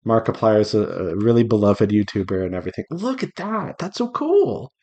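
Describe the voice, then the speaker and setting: A man's voice: on the low side, very animated, a little fast, darkish tone, dull audio. A man chatting casually and playfully to himself and his viewers while he draws, rambling along as an indie game developer on a devlog. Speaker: visual novel developer streaming while drawing.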